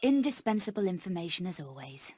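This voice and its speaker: A woman's voice: fairly low pitch, sing-song, fairly quick, slightly rough. A woman playing a cool, sardonic, fearless British adventurer in a video game, speaking with dry, clipped, haughty wit.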